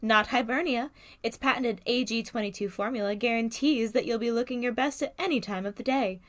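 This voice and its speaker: An actress playing a poised old-time radio pitchwoman, giving reassuring sales patter in a parody soap commercial. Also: brisk, lightly acted. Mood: reassuring, salesy.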